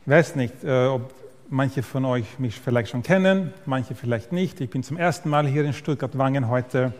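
A man's voice: mid-range voice, moderate pace, darkish tone. A man in his fifties from Sweden with an Austrian-Swedish accent, a pastor giving a friendly church lecture that speaks directly to the listeners, with occasional hesitations. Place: church hall. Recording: reverberant hall.

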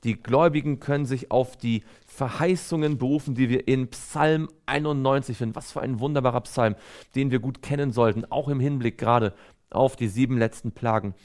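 A man's voice: mid-range voice, fairly quick, a little mellow. A man preaching a sermon in an earnest, exhortative way, reading scripture aloud and stressing key phrases.

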